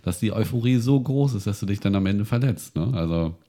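A man's voice: on the low side, expressive, a little fast, warm tone. A man co-hosting a casual chat show, rambling with many fillers and restarts as he talks about reality TV. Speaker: podcast co-host.

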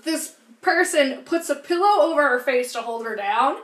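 A woman's voice: high voice, expressive, moderate pace, a bit bright. A woman co-hosting a podcast, casually talking through a film in a candid, sweary way. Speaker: podcast co-host. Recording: roomy echo.

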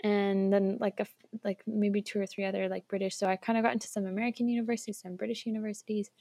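A young woman from Switzerland, a guest telling her story in a casual chat over an online call, laughing often and filling pauses with ums. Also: medium pitch, level tone, vocal fry, mellow. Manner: chatty, laughs often, lots of fillers. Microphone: remote online call recording.

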